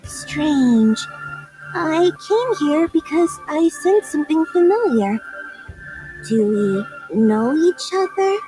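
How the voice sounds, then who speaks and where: A woman's voice: high-pitched, expressive, slow pace, over music, low-quality recording. A woman voicing a naive, forgetful beast girl in a video game, hesitant and rambling but proud. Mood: puzzled, naive.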